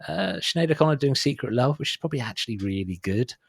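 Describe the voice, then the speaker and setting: A man's voice: mid-range voice, lively intonation, quick pace, vocal fry, breathy edge, dry recording, studio quality. A middle-aged man hosting a music podcast in casual chat, making wry, dismissive asides as he reads out a track list. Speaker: podcast host.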